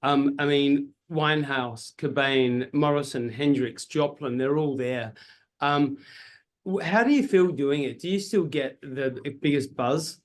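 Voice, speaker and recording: A man's voice: mid-range voice, a little slow. An Australian man hosting an interview, casual and enthusiastic, full of hype for the show. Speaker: radio host. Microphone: video call.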